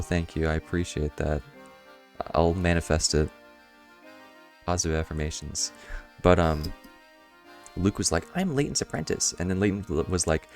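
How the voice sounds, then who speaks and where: A man streaming a puzzle game, his voice a bit hoarse, rambling through casual commentary with chuckling asides. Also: deep voice.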